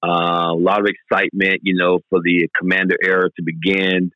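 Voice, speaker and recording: A low-pitched man with a hoarse, raspy voice talks football with his co-host in a casual, emphatic way. The recording is dry and a little dull, with no room sound. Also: a little fast, no room sound. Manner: animated and emphatic, says "man" a lot. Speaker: podcast co-host. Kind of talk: casual sports chat.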